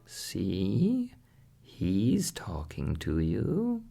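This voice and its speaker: A man voicing a knowing female parrot in a bedtime storybook, in a high, patiently instructive voice. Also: huge pitch swings, gravelly, warm tone, slightly rough, faint music behind, exaggerated voice. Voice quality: high, falsetto voice. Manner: drawn-out, instructive delivery. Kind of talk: storybook character voice.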